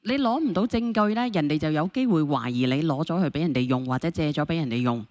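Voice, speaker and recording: A woman hosts a call-in show. Her voice sits a bit low and she talks quickly, pressing with question after question as she mediates. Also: animated, a little mellow. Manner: questioning, persistent. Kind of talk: mediating a caller dispute, casual talk.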